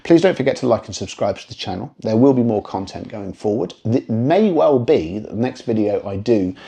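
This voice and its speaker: A British man with a low-pitched, very mellow voice presents a review to camera. He talks conversationally but articulately, thinking through his points in a measured way and dropping in dry asides. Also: expressive, gravelly. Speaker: product reviewer presenting a video.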